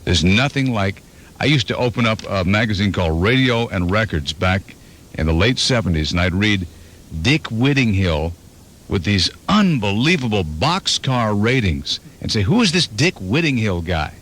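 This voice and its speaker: A man hosting a morning radio show, joking and telling stories in quick banter. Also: on the low side, big pitch swings, fairly bright tone. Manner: storytelling, playful, slips into voices. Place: radio studio. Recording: 1991 FM radio aircheck.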